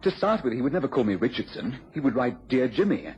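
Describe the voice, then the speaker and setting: An actor with an English accent playing an anxious, earnest, deferential gentleman in an old British radio drama, eager and slightly hesitant. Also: medium pitch, sing-song, quick pace, lightly acted. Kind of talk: 1950s-60s British radio drama acting. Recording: dull, hissy old radio recording.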